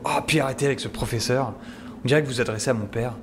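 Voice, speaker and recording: A man's voice: medium pitch, very fast, noisy background, lightly acted. An actor playing an indignant, sarcastic young scientist held captive in an audio drama, mouthy and informal as he protests. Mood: irritated, cheeky. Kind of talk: scripted audio drama acting.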